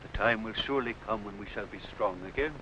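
A man's voice: lowish voice, fast, background noise, close to an everyday voice. A middle-aged actor playing a weary, grave Saxon king in an old television costume drama, with solemn, archaic theatrical phrasing. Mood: sorrowful, resigned.

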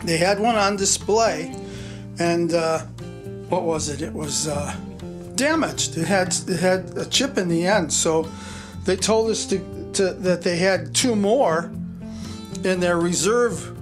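A man's voice: high voice, expressive, slow, pauses often, over music. Folksy man telling a home story. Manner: plain, rambling, folksy retelling. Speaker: vlog co-host, handyman husband. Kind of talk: casual storytelling.

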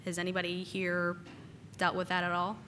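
A woman giving a casual technical conference talk, slightly hoarse from a cold and using lots of "uh" and "um" fillers. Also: lowish voice, completely flat delivery, moderate pace, pushed and bright, slight background noise. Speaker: conference speaker. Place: conference hall.

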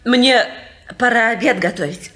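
Shy, meek stepmother, slightly high voice, hesitant. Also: measured pace, sharp tone. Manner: timid, hesitant. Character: timid, meek young stepmother. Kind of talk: 1950s radio drama acting.

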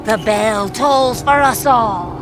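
A woman's voice: on the high side, music in the background. A woman playing a crazed old village crone in a video game, raving ominously of doom. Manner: slow, ominous wailing with cackles.

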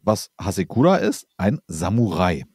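A man's voice: low-pitched, very animated, normal speed, a little mellow, slightly rough, no echo, studio quality. A German man co-hosting a casual history podcast, explaining chattily with fillers and restarts. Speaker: podcast co-host presenting the episode.

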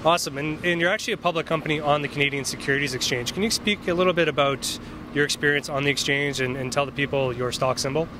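A man's voice: on the high side, quick pace. A man in his thirties interviewing someone outdoors in a promotional style, speaking into a handheld microphone. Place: outdoors on a busy city street. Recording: clear street and traffic noise.

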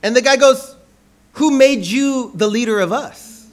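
A young man preaching in a church hall, playful and joking, pausing often for an interpreter. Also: very high-pitched. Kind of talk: sermon with live interpreter.

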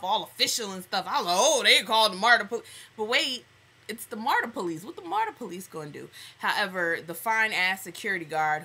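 A young American woman chats casually to her webcam. Her voice sits a little low for a woman and is bright and forceful. She is animated and sassy, full of slang. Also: slight background noise. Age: young adult. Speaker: vlogger. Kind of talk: casual vlog storytelling. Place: small indoor room at home.

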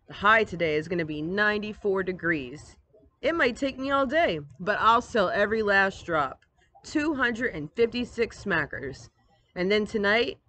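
A woman's voice: mid-range voice, a bit bright. Woman playing a cocky, boastful boy. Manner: boastful, emphatic. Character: cocky, competitive boy, scheming older brother. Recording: outdoor ambient noise, device microphone.

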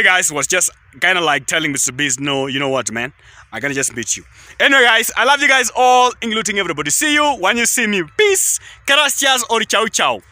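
A man's voice: fairly high pitch, pushed and bright, dry recording. A young Kenyan man with a Kenyan accent, rambling casually into his phone at arm's length outdoors in a tea field, repeating himself often. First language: Kalenjin. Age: young adult. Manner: rambling, repetitive, keeps saying guys. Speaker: vlogger. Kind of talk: casual selfie vlog.

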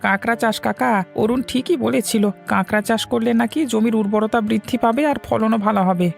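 A man's voice: sits high, fairly even intonation, a little fast, vocal fry, soft background music. A man playing a blunt, stubborn village farmer in an educational cartoon. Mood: regretful. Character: scoffing, stubborn village farmer, neighbour of the hero.

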